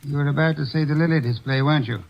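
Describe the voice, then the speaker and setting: An actor playing a portly, unflappable older detective who speaks in a measured, pompous way, in 1940s radio acting. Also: male voice, fairly high pitch, fairly even intonation, gravelly. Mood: smug. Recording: dull old radio broadcast recording.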